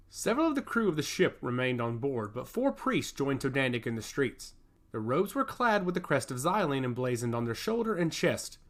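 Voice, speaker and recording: A man's voice: medium pitch, fairly bright tone. A man reading scripted fantasy narration with dramatic, emphatic storytelling delivery.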